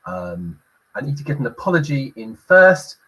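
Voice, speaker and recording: Husky-voiced man hosting a talk show. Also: medium pitch, big pitch swings, normal speed, bright, forceful tone, poor audio. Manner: hesitant, many fillers, reads out comments. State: croaky, recovering from illness. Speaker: host.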